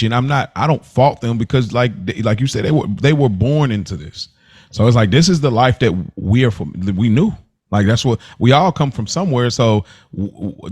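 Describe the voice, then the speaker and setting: A man in a casual podcast chat as co-host, slangy and emphatic, fond of rhetorical questions. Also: fairly low pitch.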